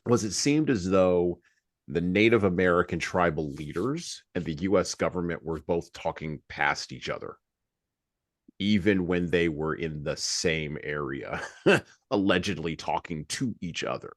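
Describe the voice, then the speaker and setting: A man hosting a podcast, talking reflectively about books in a halting, deliberate way with emphasis on key words. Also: sits low, no room sound, studio quality. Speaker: podcast host. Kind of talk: reflective book discussion.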